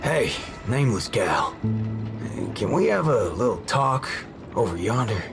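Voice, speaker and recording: A gruff gunslinger for a video game. He has a slow with a lively swing and folksy mock curses. Loud music runs behind him. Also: medium pitch. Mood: gruff, wry. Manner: drawling, folksy, mock-cursing.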